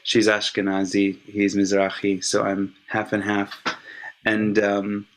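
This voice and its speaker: A man whose first language is Hebrew, speaking as an interview guest with a somewhat low voice. He talks in a reflective, measured way, weighing each thought as he tells his story. The tone is a relaxed, casual conversation. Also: poor audio. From California.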